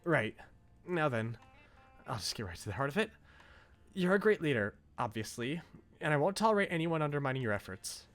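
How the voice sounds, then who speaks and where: A man's voice: on the high side, sing-song, a little fast, long pauses, a bit bright, voice pushed up. A man voicing an earnest, devoted young woman from a visual novel, reading her lines with emphasis. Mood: admiring, earnest. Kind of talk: reading visual novel dialogue aloud in character. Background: game music.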